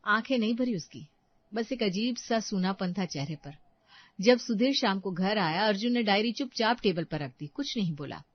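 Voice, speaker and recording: A woman narrating a moral story dramatically, in deliberate short phrases. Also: medium pitch, expressive, dry recording, muffled recording.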